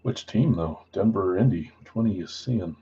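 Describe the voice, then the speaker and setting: Low-key man hosting a card break stream. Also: normal speed, dark tone, slightly rough, dull audio. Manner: self-described boring voice, low-key and matter-of-fact. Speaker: host of a live trading card break stream. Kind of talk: casual livestream chat, reading out buyers and spots.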